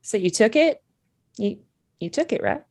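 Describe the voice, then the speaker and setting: A woman's voice: mid-range voice, sing-song, moderate pace, a little mellow, no room sound. A woman playing a blunt, sardonic, unimpressed girlfriend in a comedy table read. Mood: skeptical. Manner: blunt, dry, dismissive.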